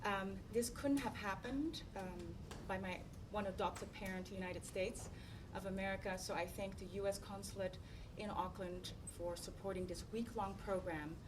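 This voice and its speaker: A woman's voice: medium pitch, fairly even intonation, normal speed, few pauses. Upbeat woman from the Netherlands speaking far off. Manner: upbeat, grateful, playful. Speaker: founder and speaker. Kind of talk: speech to an audience. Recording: distant mic in a noisy room.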